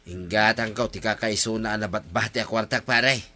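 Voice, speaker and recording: A man's voice: fairly low pitch, fairly even intonation, a little fast, background noise, natural voice. An actor with an Ilocano accent playing a flirtatious, hapless married village man in an audio drama. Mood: casual. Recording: music under the voice.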